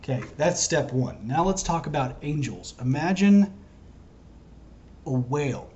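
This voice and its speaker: A hoarse man talking to camera in a wandering, self-interrupting, earnest monologue as a self-styled prophet. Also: on the high side, expressive, measured pace, a little mellow, lo-fi sound. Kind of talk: rambling solo monologue to camera.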